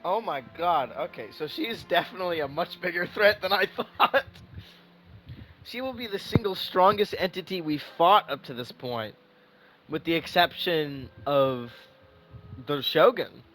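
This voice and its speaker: A man giving casual live reaction commentary as a gaming streamer, excitable, repeating himself as he thinks aloud. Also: a little high, measured pace, slight background noise.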